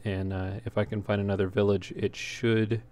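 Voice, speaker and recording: A man giving casual gameplay commentary in a halting way, as if thinking aloud while he plays. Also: fairly low pitch, level tone, a little mellow. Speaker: let's player, host. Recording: clear background noise.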